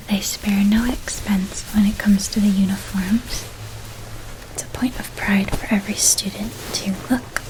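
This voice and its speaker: Casting: actress for a gentle witch-school outfitter, ASMR roleplay, hushed breathy whisper, very unhurried pace. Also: medium pitch, lightly acted, low-quality recording. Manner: slow, gentle, soothing, attentive. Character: gentle attentive female shopkeeper outfitting a witch school student.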